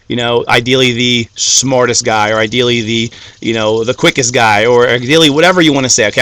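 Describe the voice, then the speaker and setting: A man's voice: mid-range voice, bright, forceful tone. A young man whose first language was Greek, giving a motivational talk that keeps checking in with "okay?" and builds to a fired-up finish. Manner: repeats "okay?" and "guys", builds to rising fired-up climax. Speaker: motivational speaker.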